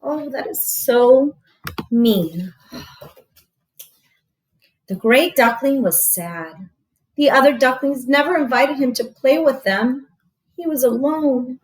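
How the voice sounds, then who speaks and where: A woman reading a storybook aloud to children as a teacher, expressive and explaining things simply. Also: high-pitched, slow, long pauses, poor audio. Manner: expressive, explains to young listeners.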